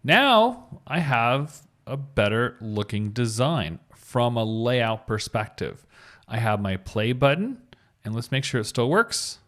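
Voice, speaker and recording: A man's voice: mid-range voice, very animated, slow pace, a bit bright. A man presenting a screencast tutorial, narrating each step in a casual, informal way and thinking aloud as he demonstrates. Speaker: tutorial presenter, web designer.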